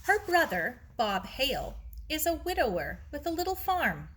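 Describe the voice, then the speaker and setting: A kindly, composed aunt from a storybook , voiced by a woman. She sounds affectionate and reassuring, with a bright, animated delivery. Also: sits high, moderate pace, voice pushed up. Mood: pleased, reassuring.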